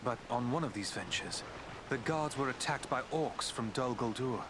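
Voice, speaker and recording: Guy as a solemn elf prince in a fantasy game, courtly and measured, mid voice, noisy ambience behind. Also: medium pitch, lively intonation, close to an everyday voice. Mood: regretful, grave. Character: male woodland elf prince, noble, composed, solemn. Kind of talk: fantasy video game character acting. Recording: clear background ambient noise.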